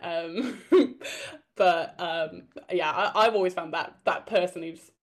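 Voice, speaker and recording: A woman's voice: fairly low pitch, slow pace. Giggly woman with a light Welsh accent. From Wales. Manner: hesitant, giggly, many fillers. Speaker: guest. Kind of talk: casual interview chat.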